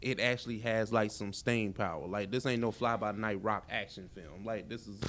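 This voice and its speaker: A man's voice: on the low side, fairly even intonation, moderate pace, fairly bright tone, a little hiss or hum. An American man co-hosting a podcast, chatting casually and slangily about movie trailers and entertainment news. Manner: slangy, conversational, says "man" and "you know" often. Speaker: podcast co-host.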